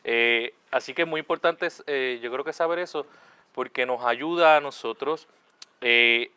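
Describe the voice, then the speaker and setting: A man's voice: mid-range voice, a little slow, pushed and bright, smooth, no echo. A man hosting a religious talk show, speaking in a friendly way and often adding "¿verdad?". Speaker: host, Capuchin friar.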